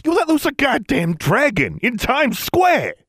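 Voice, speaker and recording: A man voicing an indignant middle-aged government official who turns smug and then shouts in a fury. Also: on the high side, big pitch swings, brisk, no room sound, studio quality, pitched above the performer's own voice. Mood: yelling. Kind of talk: audiobook character voice.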